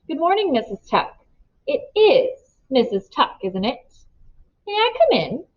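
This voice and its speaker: Woman as polite male stranger, mild, formal, quietly sinister, storybook villain, dull recording. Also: high-pitched, huge pitch swings, a little slow, pitched above the performer's own voice, exaggerated voice.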